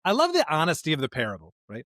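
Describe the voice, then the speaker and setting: A man co-hosting a podcast in a conversational discussion of scripture, speaking emphatically in halting bursts and often checking in with a rhetorical question. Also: fairly high pitch, huge pitch swings, fairly bright tone, no room sound, clean studio sound. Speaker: podcast co-host.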